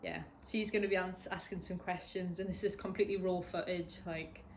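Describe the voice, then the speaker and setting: Young woman, low-pitched, hesitant and candid, personal interview, faint background hiss. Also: fairly even intonation, fairly quick. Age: 20s. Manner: hesitant, lots of restarts and ums. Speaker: interviewee sharing a personal story.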